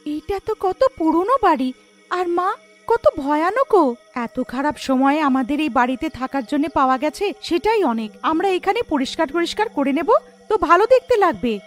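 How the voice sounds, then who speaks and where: A woman's voice: high voice, expressive, moderate pace, faint music behind. A woman voicing an anxious, respectful young mother of a poor family in a cartoon story. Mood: uneasy, accepting.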